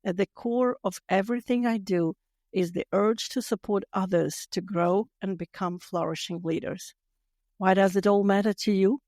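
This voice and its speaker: Measured Latvian-accented woman host. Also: mid-range voice, steady tone, darkish tone, no echo, clean studio sound. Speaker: podcast host. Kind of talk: scripted solo podcast monologue.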